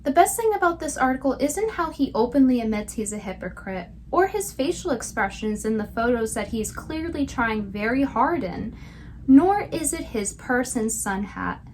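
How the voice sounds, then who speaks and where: A woman's voice: on the high side, expressive, moderate pace, darkish tone, slight background noise. A woman giving scripted commentary with sarcastic, mocking asides. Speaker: video commentator.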